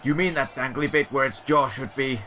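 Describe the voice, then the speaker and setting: A man's voice: mid-range voice, a little fast, bright, forceful tone, faint music behind, close to an everyday voice. An actor playing a brave male guard captain crying out in a fight, in a fan audio drama. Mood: tense. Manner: strained battle cries.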